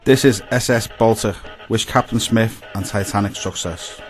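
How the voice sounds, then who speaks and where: A man's voice: on the low side, moderate pace, faint music behind, close to an everyday voice. A man playing a ship's wireless operator in a radio play, reading reports in a clipped, formal way. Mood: formal, businesslike.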